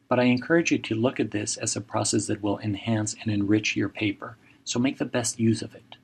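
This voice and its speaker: Gravelly middle-aged man. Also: fairly low pitch, fairly even intonation. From Mexico. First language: Spanish. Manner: measured, advising, direct imperatives. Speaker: journal editor and professor. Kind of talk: recorded seminar lecture.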